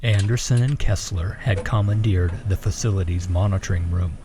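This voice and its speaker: Low deep guy narrating a suspense story, noisy ambience behind him. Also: normal speed.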